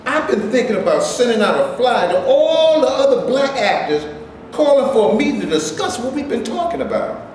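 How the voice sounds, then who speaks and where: A man playing an earnest, determined actor and would-be organizer in an animated staged play reading. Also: very high-pitched, normal speed. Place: indoor room, live staged reading. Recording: echoey room, faint noise.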